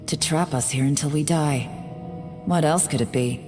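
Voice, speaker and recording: Actress as guarded game investigator, very low female voice, measured and serious, resolute, long hall reverb. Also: close to an everyday voice. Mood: guarded, analytical. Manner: measured, serious, deliberate delivery. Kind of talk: dramatic video game voice acting. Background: faint background music.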